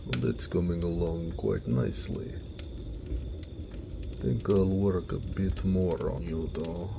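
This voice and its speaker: Man voicing a deep, slow-talking gentle orc blacksmith. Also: creaky, noisy background, heavily acted. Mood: pleased, focused. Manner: musing, unhurried, homely. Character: gentle, hardworking male orc blacksmith, weary, good-natured. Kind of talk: solo audio roleplay, talking to himself.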